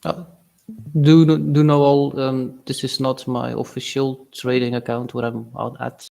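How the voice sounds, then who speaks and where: A young man from Belgium talks through his trades on a live stream, reading chat aloud and pausing on fillers. His mid-range voice carries a gravelly, fry-tinged edge. The delivery is loose and conversational. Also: medium pitch, level tone, mellow. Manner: casual, halting, reads chat aloud with fillers. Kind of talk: live-stream trading commentary.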